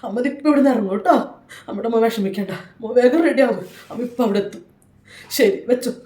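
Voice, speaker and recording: A woman's voice: a little high, fast talker, mellow, roomy sound, distant mic, natural voice. A woman playing a loving mother who consoles her daughter over the phone and urges her not to give up. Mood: soothing. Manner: emotional, consoling, fiercely encouraging. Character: loving middle-aged mother, fierce and protective.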